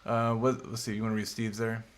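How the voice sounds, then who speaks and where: A man's voice: lowish voice, flat delivery, brisk. A man in his thirties, co-hosting a casual chat and reading viewer comments aloud in a laid-back, chatty way. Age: 30s. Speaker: co-host.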